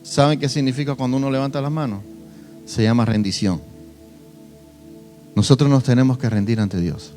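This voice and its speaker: Impassioned pastor preaching. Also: male voice, mid-range voice, normal speed, pauses often, faint music behind. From Cuba. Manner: impassioned, swings between soft and loud emphasis. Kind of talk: sermon with personal testimony.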